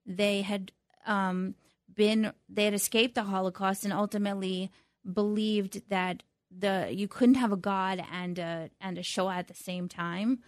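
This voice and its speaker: Thoughtful middle-aged woman guest who talks in halting restarts, average pitch, dry mic. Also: fairly even intonation, no room sound. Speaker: interview guest, attorney. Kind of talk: conversational interview.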